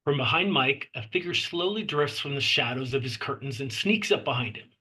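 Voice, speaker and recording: Bright, husky man narrating a screenplay. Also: mid-range voice, animated, fairly quick. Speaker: narrator reading stage directions.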